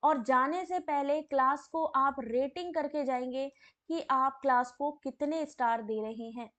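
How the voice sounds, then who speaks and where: A woman with a hoarse, sore throat teaching a live online exam-prep class, reading out questions and chatting with viewers by name. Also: sits high, a little slow, a bit bright.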